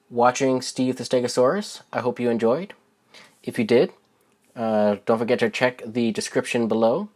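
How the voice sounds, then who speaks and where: Male storybook narrator, medium pitch, deliberate and expressive delivery for kids. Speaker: children's book author reading aloud.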